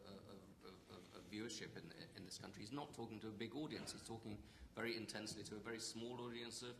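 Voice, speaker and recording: A middle-aged man runs a panel discussion with a low, gravelly voice and drops dry, pointed remarks. The room is echoey, and a clear hiss sits under his voice. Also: fairly even intonation, quick pace. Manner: dry, opinionated asides. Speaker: moderator. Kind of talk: moderating a panel. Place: lecture hall. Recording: echoey hall with background hiss.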